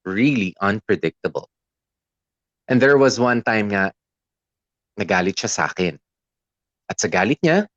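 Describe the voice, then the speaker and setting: A man's voice: mid-range voice, no room sound, lightly acted. A man with a Filipino accent playing a patient, troubled young boyfriend, narrating his love story in a radio drama. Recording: slightly muffled, music underneath. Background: background music.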